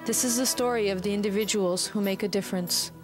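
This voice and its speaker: A woman voices the documentary narration at a mid pitch in a measured, scripted read. Clear music plays under her voice. It is a studio voice-over, not recorded on location. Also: fairly even intonation.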